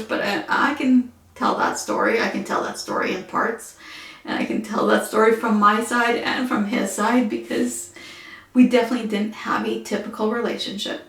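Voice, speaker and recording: Ukrainian-accented woman vlogger, mid pitch, quiet halting delivery, roomy echo. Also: vocal fry. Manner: soft-spoken, halting with fillers. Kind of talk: casual personal vlog.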